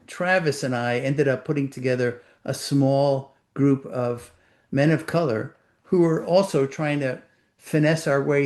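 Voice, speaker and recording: An older author with a Puerto Rican accent, answering interview questions in a thoughtful, measured way. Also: male voice, mid-range voice, darkish tone. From Puerto Rico. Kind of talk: reflective conversation.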